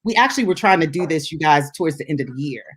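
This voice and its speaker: Low-voiced woman, casual radio co-host. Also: expressive, very fast, slightly rough. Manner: chatty, rambling, laughs easily. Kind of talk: casual unscripted chat.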